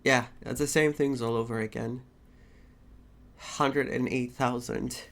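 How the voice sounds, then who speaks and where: A man's voice: mid-range voice, fairly even intonation, a little slow, a bit bright. A man doing casual let's play commentary as a gaming streamer.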